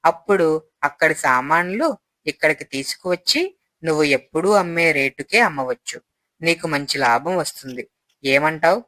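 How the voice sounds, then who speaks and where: A man acting as a young con artist in a moral tale, posing as a pal. He coaxes persuasively in a bright, slightly high voice with a dry sound. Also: lively intonation, moderate pace, no room sound, poor audio. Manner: persuasive, coaxing. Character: smooth-talking young male swindler posing as a friend.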